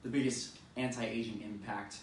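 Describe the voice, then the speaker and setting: A man hosts a casual podcast chat in a relaxed, agreeable way, pausing on "um" and "uh". His voice sits at a middle pitch. The sound comes from a distant mic in a very echoey room, with faint noise behind. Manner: relaxed, agreeable, hesitant with fillers. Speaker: podcast host.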